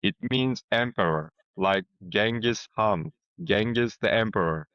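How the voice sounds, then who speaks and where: A man's voice: lowish voice, measured pace, clean tone, no echo, highs cut off. A man from Turkey speaking English as a second language with a Turkish accent, a podcast guest telling stories with easy laughter over a remote call. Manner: lively storyteller, laughs readily, plain wording. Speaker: podcast guest, crypto strategist. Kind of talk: casual podcast chat. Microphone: remote video call.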